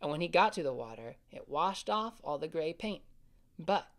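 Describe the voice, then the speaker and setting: A gentle, caring big brother. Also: male voice, fairly high pitch, expressive, normal speed. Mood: soothing. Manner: gentle, soothing, reassuring. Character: caring older brother, young, calm storyteller. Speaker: voice actor. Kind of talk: family audio drama acting.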